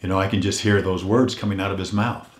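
An older man hosting a weekly motivational message, earnest and unhurried, his voice a little hoarse as if he is losing it, often dropping to a hush on heartfelt points. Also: low-pitched, little pitch movement, roomy sound. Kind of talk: reflective motivational talk.

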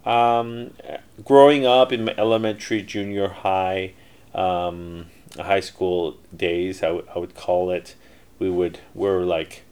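Deep-voiced middle-aged man, rambling. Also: steady tone, slow, darkish tone, clear, resonant tone. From Canada. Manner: rambling, many fillers and restarts. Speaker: interview guest, entrepreneur. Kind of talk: casual interview storytelling.

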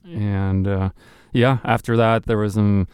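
A middle-aged man with a Swedish accent talks through his racing past in a relaxed, unhurried way, pausing and restarting as he thinks. His voice sits fairly low and sounds smooth and clear. The recording is close and dry, with no room sound. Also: no echo. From Sweden. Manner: relaxed, thoughtful storytelling with many restarts. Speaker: racing driver guest. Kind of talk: casual podcast chat.

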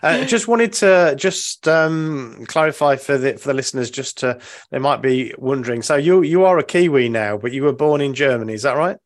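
Male podcast host, halting and encouraging, lots of fillers, mid pitch. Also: animated. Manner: hesitant, many fillers and restarts, encouraging. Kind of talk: podcast interview.

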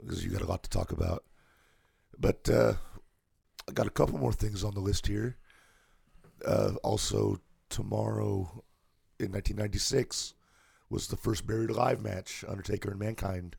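A man co-hosting a casual wrestling podcast, hoarse today, relaxed as he works through a list of dates. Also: lowish voice, moderate pace, pauses often, no room sound, clean studio sound. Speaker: podcast co-host.

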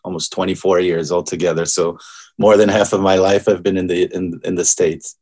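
Deep-voiced man in his forties from Brazil. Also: little pitch movement, darkish tone. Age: 40s. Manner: thoughtful, halting, with many restarts. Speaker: guest composer. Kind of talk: casual interview conversation.

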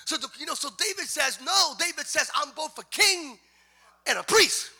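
A man preaching a sermon to a congregation, moving from quiet teaching to loud, half-sung exhortation. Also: very high voice, animated, moderate pace. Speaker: pastor. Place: church sanctuary.

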